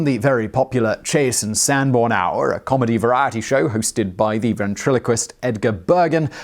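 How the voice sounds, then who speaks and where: A man reading a scripted history narration, steady, with sudden rising emphasis on key phrases. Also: mid-range voice.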